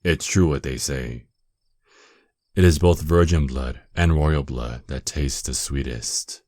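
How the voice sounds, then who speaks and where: Man playing a very deep, slow vampire lord. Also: a little mellow, dry recording, studio quality, heavily acted. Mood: seductive, gloating. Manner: slow, menacing, condescending, teasing. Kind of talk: ASMR roleplay acting.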